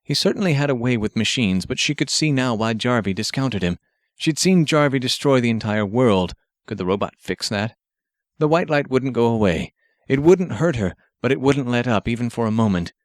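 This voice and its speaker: Male fiction narrator for audiobook. Medium pitch, scripted storytelling, dry close recording. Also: animated, moderate pace, dry recording.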